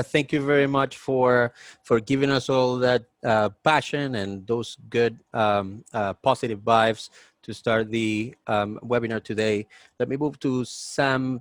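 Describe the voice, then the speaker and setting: A man hosting a webinar panel, haltingly thanking and introducing the speakers. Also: mid-range voice, fairly even intonation, no room sound. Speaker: panel moderator.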